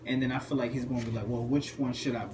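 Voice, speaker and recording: A young man who is a father, co-hosting a podcast and thinking aloud in halting starts during a card game. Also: medium pitch, flat delivery, reverberant. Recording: clear background noise.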